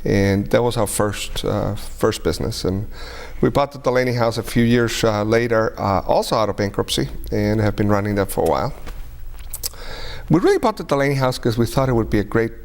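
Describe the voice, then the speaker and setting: A man's voice: lowish voice, brisk. A middle-aged man with a German and Spanish tinged accent, a native speaker of Spanish and German, chatting modestly as an interview guest. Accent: mixed German, Spanish and British English. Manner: modest, chatty, with frequent restarts. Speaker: restaurant and banquet business owner, guest. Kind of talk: casual interview talk. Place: TV studio.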